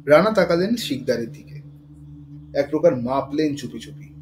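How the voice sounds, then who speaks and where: A man's voice: a little high, lively intonation, slight background noise. Suspenseful male thriller narrator. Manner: measured, suspenseful. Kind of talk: dramatic scripted thriller narration.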